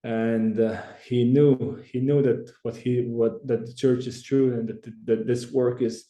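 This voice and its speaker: A man's voice: medium pitch, steady tone, warm tone, roomy sound. A man from Croatia speaking English with a Croatian accent, an earnest podcast guest who restarts his phrases often. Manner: earnest, halting, many restarts. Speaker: church branch president, guest. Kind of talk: podcast interview.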